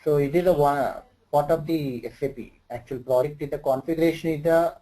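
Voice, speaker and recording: A man from Andhra Pradesh with an Indian English accent, tutoring one-on-one as an instructor and pausing often over fillers and loose grammar. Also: on the high side, dark tone, poor audio. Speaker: SAP HR instructor.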